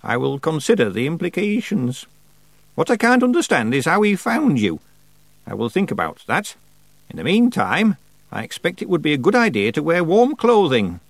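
A man voicing a stern, solemn, very old woman witch who speaks slowly and severely, lecturing. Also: on the high side, wildly swooping pitch, vocal fry, no room sound, voice pushed up, full character voice. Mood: solemn, probing.

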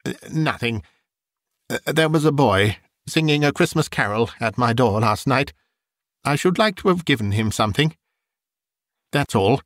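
A man voicing an old miser softened into childlike, sentimental wonder, with an eager, exclamatory delivery for an audiobook. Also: mid-range voice, expressive, normal speed, long pauses, no echo, clean studio sound, lightly acted. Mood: regretful, pitying. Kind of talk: audiobook character dialogue.

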